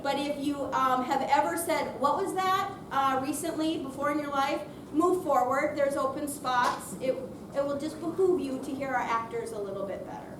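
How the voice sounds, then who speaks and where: Woman, projecting, chatty live introduction, high voice, far from the mic in a noisy echoing hall. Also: normal speed, hardly any pauses. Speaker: theater program presenter. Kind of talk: live audience introduction, off the cuff. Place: senior center room, unamplified, before an audience. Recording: distant mic, echoey room, noisy.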